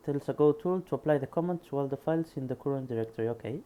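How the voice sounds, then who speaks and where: A man with a Spanish accent reads technical text aloud in a very dark, mellow voice. Between passages he mutters quietly to himself while testing the code. A faint hum sits under the recording. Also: mid-range voice, very fast. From Spain. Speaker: programmer reading a book aloud. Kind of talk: casual screen-recorded reading and coding.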